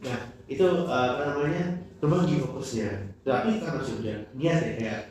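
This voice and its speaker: A man's voice: medium pitch, animated, a little mellow, heavy reverb, recorded from a distance, a little hiss or hum. A young man, a student guest on a campus podcast, talking casually with lots of fillers and rambling asides. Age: young adult. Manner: fast, rambling, frequent fillers.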